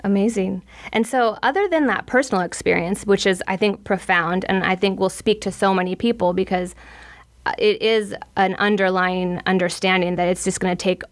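A woman's voice: medium pitch, steady tone, moderate pace. A woman hosting a podcast interview, eager and effusive, asking long rambling questions. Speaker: podcast host. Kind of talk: casual interview.

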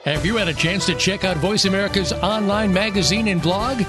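A man's voice: sits high, brisk. A man reading a network promo in an upbeat announcer delivery. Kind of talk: scripted promo read. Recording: promo over clear music.

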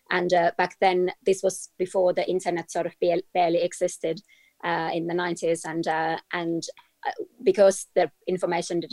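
A woman from Finland, a trend forecaster talking as an interview guest, measured and full of hesitations. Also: fairly low pitch, a little mellow, dry recording. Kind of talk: casual interview.

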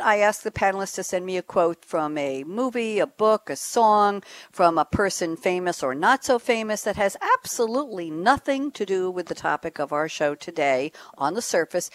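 A woman's voice: on the low side, no echo. A woman hosting a radio talk show, upbeat and a little theatrical as she introduces guests.

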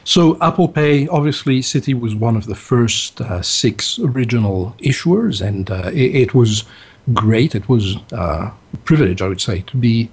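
A man's voice: medium pitch, animated, moderate pace, breathy edge. A man being interviewed as a bank executive, answering with frequent ums and uhs. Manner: hesitant, frequent uh and um.

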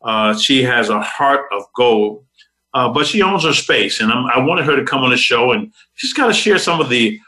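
A man hosts a motivational talk show with a bright, ringing voice. His delivery is upbeat and preacher-like, building hope line by line. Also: mid-range voice, expressive, normal speed, low-quality recording.

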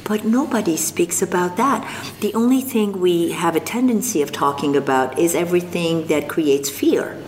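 An older woman from North Africa, speaking as an interview guest, slow and thoughtful with frequent pauses. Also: lowish voice, animated, a little mellow. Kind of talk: reflective interview conversation. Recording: long reverb, faint background noise.

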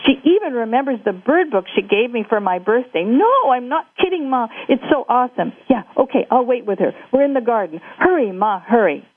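Woman voicing an excitable young boy, phone line. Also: on the high side, slow pace, pitched above the performer's own voice. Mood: excited. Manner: eager, breathless urgency.

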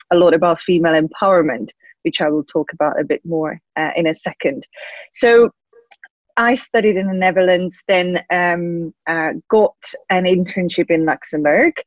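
Young czech woman being interviewed, lowish voice, bit muffled and dry, pauses a lot mid sentence. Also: fairly even intonation, dry recording. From Czech Republic. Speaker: guest, lawyer and compliance officer. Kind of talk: conversational interview answers.

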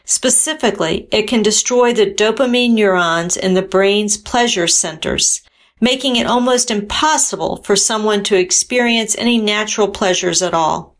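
A woman's voice: medium pitch, normal speed. A woman artist presenting her own work in scripted, explanatory narration.

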